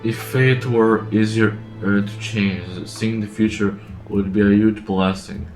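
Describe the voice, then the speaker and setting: Male gamer reading lines aloud, very deep pitch, echoey room, faint music. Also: steady tone, measured pace, darkish tone. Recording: roomy echo, faint game music.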